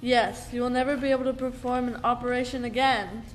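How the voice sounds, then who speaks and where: Grave, reluctant doctor played by a teenage girl, fairly high voice, radio drama, light music beneath. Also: fairly even intonation, a little fast, natural voice. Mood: grave, apologetic. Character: reluctant doctor breaking bad news. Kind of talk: school radio play acting.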